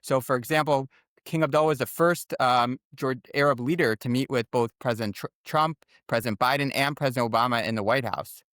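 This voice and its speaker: A man's voice: medium pitch, a little fast, a bit bright, dry recording. Articulate American man with a dry sound. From United States. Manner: articulate, informative, orderly. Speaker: guest author and former journalist. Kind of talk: explanatory interview answers.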